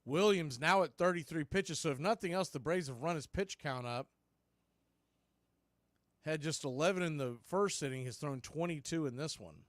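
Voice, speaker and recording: A man doing live baseball play-by-play, mixing clipped pitch calls with conversational asides about other games. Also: moderate pace, no echo, studio quality. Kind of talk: live sports play-by-play commentary with scoreboard asides.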